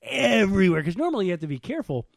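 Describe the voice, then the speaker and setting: A man's voice: high voice, very animated, rapid-fire, gravelly, dry recording, studio quality. An American man in casual podcast banter, talking in an emphatic, slangy way. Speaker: podcast co-host.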